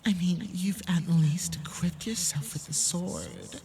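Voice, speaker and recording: A woman voicing a menacing, sadistic male fox spirit in an ASMR roleplay, taunting prey in a breathy, half-whispered manner. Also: on the low side, takes it slowly, dark tone, a little hiss or hum. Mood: scornful. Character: menacing femboy kitsune, sadistic forest predator mocking prey.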